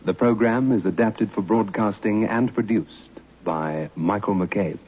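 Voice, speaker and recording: A man with a husky voice announcing programme credits on vintage radio. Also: fairly low pitch, measured pace. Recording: tinny, narrow old radio recording.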